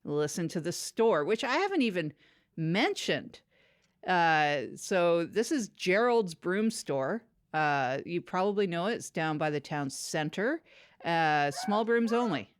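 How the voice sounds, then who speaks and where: A woman playing an awkward, unfiltered broom salesperson in improvised comedy, rambling haltingly in a matter-of-fact way. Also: on the low side, sing-song, no echo, clean studio sound. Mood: deadpan, rambling. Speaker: product demonstrator on a comedy podcast.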